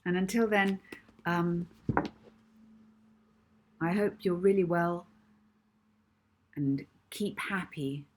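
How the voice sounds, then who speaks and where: A woman author reading her own children's book aloud in an expressive storytelling style. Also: on the low side, slow pace, long pauses, a little hiss or hum. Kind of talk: expressive children's audiobook narration.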